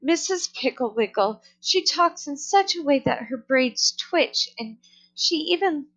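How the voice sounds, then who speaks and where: A woman's voice: high-pitched, animated, unhurried, fairly bright tone, muffled recording, voice pushed up, heavily acted. A woman voicing a worried, fussy storybook mother who frets and exclaims about her child. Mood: flustered. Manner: fretful, exclamatory.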